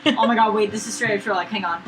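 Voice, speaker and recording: A woman's voice: mid-range voice, fairly even intonation, rapid-fire. A woman hosting a let's play with casual, playful commentary on the game. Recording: noisy room recording, no proper mic.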